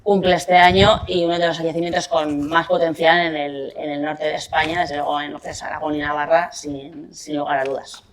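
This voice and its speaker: A woman's voice: deep voice, level tone, a little fast, few pauses. A woman speaking as an archaeologist in a documentary interview, thoughtful and hesitant with many fillers. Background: guitar music.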